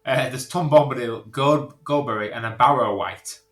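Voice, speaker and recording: Guy podcast guest, echoey room, casual and keen, average pitch. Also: moderate pace. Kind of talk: casual chat.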